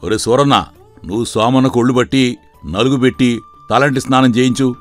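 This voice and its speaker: A man playing a middle-aged, hot-tempered father and farm master in an animated moral story, speaking emphatically. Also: mid-range voice, brisk, soft background music, natural voice. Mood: stern.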